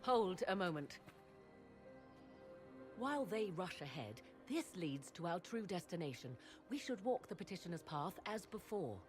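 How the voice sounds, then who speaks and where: A woman playing a proud, learned witch in a fantasy game, with formal, archaic and measured speech. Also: on the low side, very animated. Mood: urgent, insistent. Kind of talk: dramatic fantasy game acting. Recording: music behind the voice.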